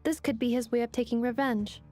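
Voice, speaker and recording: A woman voices a female game robot with a high, clean-cut delivery. She is composed and formal, reporting matter-of-factly as faint music plays. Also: fairly even intonation, brisk, mellow, lightly acted. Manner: precise, formal, matter-of-fact. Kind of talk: video game character acting.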